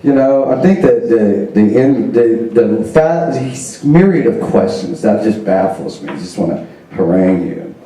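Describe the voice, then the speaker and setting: A man's voice: on the low side, expressive, measured pace, mellow. A male comedian telling a casual, profane story in a slangy, rambling stoner way, recorded on an echoey club stage. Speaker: comedian guest on a live show. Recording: echoey live club stage, distant mic.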